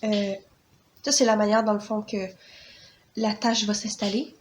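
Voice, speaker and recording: A Québécois woman with a mid-range voice and a cold, explaining things slowly and haltingly. She fills her pauses with "euh" and restarts her sentences. A faint hum of background noise sits under her voice. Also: medium pitch, little pitch movement. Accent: Québécois French. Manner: hesitant, many fillers and restarts. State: stuffy from a cold. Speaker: parenting vlogger. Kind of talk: casual how-to vlog.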